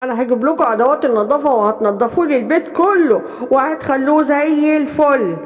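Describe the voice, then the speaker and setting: A woman voicing a greedy, wicked witch for a children's cartoon, bossy and scheming, with an Egyptian accent and drawn-out phrases. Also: sits high, close to an everyday voice. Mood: menacing, bossy. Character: wicked greedy witch luring and bossing young animals. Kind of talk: children's cartoon storytelling in colloquial Arabic. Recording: long hall-like reverb.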